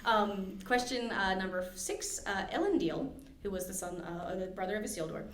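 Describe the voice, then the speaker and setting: A woman hosting a library podcast, running a casual trivia quiz with quick asides and easy laughs. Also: mid-range voice. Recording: roomy echo with faint background hiss.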